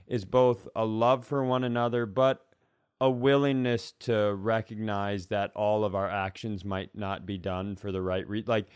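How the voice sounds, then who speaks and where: A man with a lowish voice talks as a comedy podcast co-host. He rambles through casual, conversational stories and bits, with some swearing. The sound is very dry, without room echo. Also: fairly even intonation, measured pace, smooth. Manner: rambling, conversational storytelling with profanity. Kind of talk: casual comic banter.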